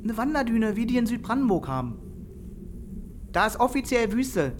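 Brash village schemer, animated and high-pitched. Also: male voice, normal speed, background noise. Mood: scheming, insistent. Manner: gruff, colloquial, bossy. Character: Mike, brash, scheming, irritable village man. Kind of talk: naturalistic German radio drama.

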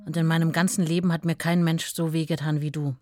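An actress playing an estranged wife who reads her letters aloud in a measured, restrained, earnest way. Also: low voice, little pitch movement, over music, natural voice.

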